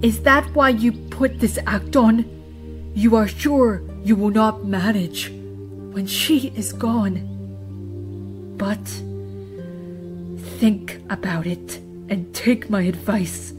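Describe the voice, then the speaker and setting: A woman voicing a wise, scolding female zebra who speaks in dramatic, deliberate rhyme in an audio drama. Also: medium pitch, music in the background, lightly acted. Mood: gentle counsel. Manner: deliberate, rhyming, dramatic delivery. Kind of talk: fan audio drama acting.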